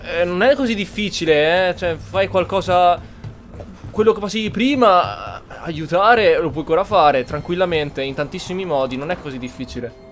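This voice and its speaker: Higher-pitched Italian man, blunt commentary. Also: a little fast, a bit bright, soft background music. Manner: blunt, colloquial. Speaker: gaming streamer. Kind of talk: casual game commentary.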